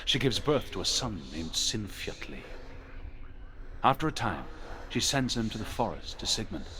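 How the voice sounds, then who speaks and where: A man playing a grave storyteller who recounts Norse legends in a video game with solemn, deliberate, dramatic delivery. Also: lowish voice, big pitch swings, pushed and bright, slight background noise, rough recording.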